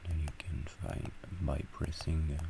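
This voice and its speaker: A man talking through a software tutorial step by step, with halting pauses between instructions. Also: very deep voice, flat delivery, gravelly, background noise. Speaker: tutorial presenter. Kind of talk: screen-recorded software tutorial.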